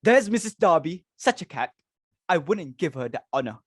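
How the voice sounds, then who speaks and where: A woman's voice: sits low, big pitch swings, normal speed, noisy background. A woman playing a fussy, snobbish society hostess and mother in a dramatic reading of a stage comedy, speaking in a haughty, exclamatory way. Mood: fussy, disdainful.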